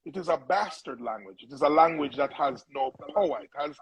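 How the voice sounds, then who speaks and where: A man's voice: a little high, animated, a little fast, crowd noise, low-quality recording. A middle-aged man from Brooklyn with a Jamaican accent, giving conversational advice as an interview guest in an emphatic, preachy way. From Brooklyn, New York. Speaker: interview guest, musical elder.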